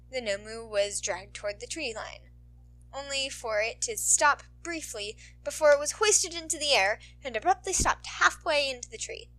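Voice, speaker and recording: Bright, high-voiced woman narrating a story. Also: low-quality recording. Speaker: narrator, podfic reader. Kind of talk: expressive scripted story narration.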